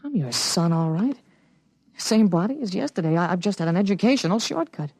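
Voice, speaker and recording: A man's voice: sits high, expressive, brisk, darkish tone, no room sound. An actor playing a precocious thirteen-year-old boy with an adult's memories, matter-of-fact and articulate, in 1950s radio drama style. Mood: earnest, insistent. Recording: vintage radio broadcast.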